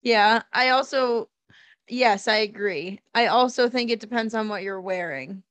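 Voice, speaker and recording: A woman's voice: fairly high pitch, unhurried, a bit bright, no echo. A woman co-hosting a podcast, teasing and answering in short, dry replies. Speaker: podcast co-host. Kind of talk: casual chat.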